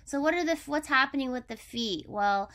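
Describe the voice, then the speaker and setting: A woman's voice: sits high, animated, moderate pace, a bit bright. A woman elementary school teacher giving a vocabulary lesson to children, explaining step by step with simple examples.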